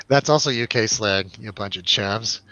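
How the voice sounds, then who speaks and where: A man's voice: mid-range voice, fairly bright tone, rough recording. A man chatting casually and jokily as host of a film review show, in informal, slangy talk. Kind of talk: casual chat, film review over drinks.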